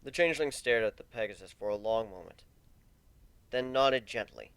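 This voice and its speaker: A man's voice: on the low side, moderate pace, a bit bright, clear, resonant tone, no echo. A man narrating a fan fiction story as a dramatic reading.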